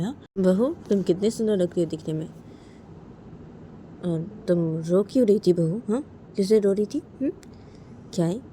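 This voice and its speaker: A woman's voice: medium pitch, warm tone, a little hiss or hum, pitched above the performer's own voice, close to an everyday voice. A woman voicing a concerned aunt-in-law in a family story, persistently questioning and coaxing in repeated phrases. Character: concerned, nagging aunt-in-law, an older female relative.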